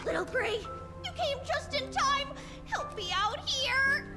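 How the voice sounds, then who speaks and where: A woman's voice: very high-pitched, big pitch swings, a little slow, over music, exaggerated voice. A woman voicing a mischievous, childlike little girl hero in a video game. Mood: playful protest. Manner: refers to self by name, playful.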